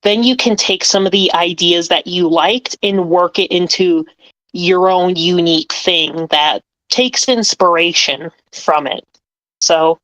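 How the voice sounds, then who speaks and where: Woman writer, lowish voice, talks real slow, lots of um and like. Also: a bit bright, highs cut off. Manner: slow, thoughtful explaining with frequent "like" and "um". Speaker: script writer. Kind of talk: casual chat.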